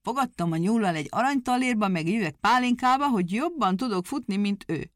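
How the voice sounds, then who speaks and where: A woman's voice: medium pitch, expressive, no echo, clean studio sound, pitched above the performer's own voice, close to an everyday voice. A woman doing a sly, touchy little hedgehog. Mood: bossy. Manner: homely, folksy, stubborn. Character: small crooked-legged male hedgehog, sly and touchy.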